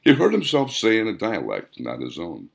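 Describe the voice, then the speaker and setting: A man narrates an audiobook in a deep, low register. The delivery is measured and deliberate, broken into short phrases, with lively intonation that moves widely up and down. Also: gravelly, breathy edge. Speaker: author narrating their own audiobook.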